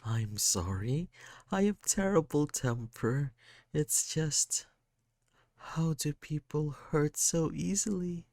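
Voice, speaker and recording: A sad, quick-tempered kid, as a man puts the voice on for a storybook. The voice sits well above a grown man's and comes out strained and close to tears. It is slow and dry. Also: fairly high pitch, animated, creaky, darkish tone, no echo, voice pushed up, exaggerated voice. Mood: upset. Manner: raised, strained and tearful.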